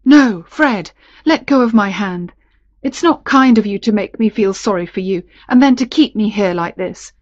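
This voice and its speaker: A woman's voice: medium pitch, normal speed, no room sound, highs cut off, close to an everyday voice. A woman narrator playing a refined married duchess, reluctant yet fond and given to protest, in a storybook.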